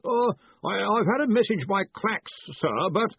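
A man voicing a nervous, deferential male researcher in a storybook, stammering and hesitant in a squeaky, quavering voice. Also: lively intonation, unhurried, vocal fry, breathy edge, no echo, phone-quality sound, heavily acted.

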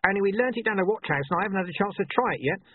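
A man's voice: high-pitched, expressive, rapid-fire, slightly rough, dry recording, phone-quality sound, pitched above the performer's own voice. A man voicing a grubby, tactless city watchman who chats on eagerly in a storybook reading. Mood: eager, chatty. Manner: chatty, insensitive.

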